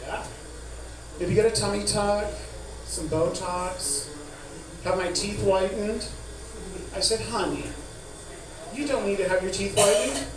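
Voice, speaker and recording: A man with a high voice tells a stand-up story, acting out both sides of a conversation. He is recorded from far off in an echoey space. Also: takes it slowly, pauses often. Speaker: stand-up comedian. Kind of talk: stand-up storytelling with acted-out dialogue. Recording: echoey, noisy room, far from mic.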